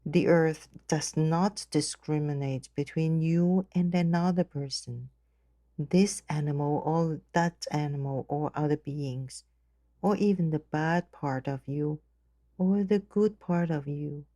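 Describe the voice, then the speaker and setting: A woman narrating a guided meditation in a gentle, measured, unhurried manner. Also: low voice, no echo.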